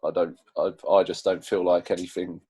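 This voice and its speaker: A man being interviewed casually, speaking in an earnest, halting way. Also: deep voice, flat delivery, noisy background.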